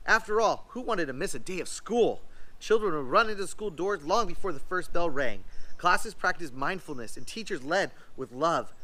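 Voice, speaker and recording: Bright-voiced man reading a children's story aloud. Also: on the high side. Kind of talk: scripted storybook read-aloud.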